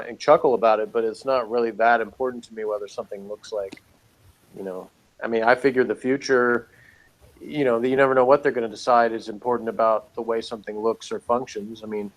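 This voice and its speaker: A man's voice: fairly low pitch, poor audio. A man co-hosting a review show, talking casually about pop culture in an easygoing, hedging way.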